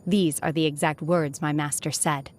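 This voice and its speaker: An actress playing a stoic, reserved young female exorcist in a video game, speaking formally and with soft hesitance. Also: low voice, fairly even intonation, natural voice. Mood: calm, reflective. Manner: measured, formal, softly hesitant, detached. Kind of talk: video game character voice lines.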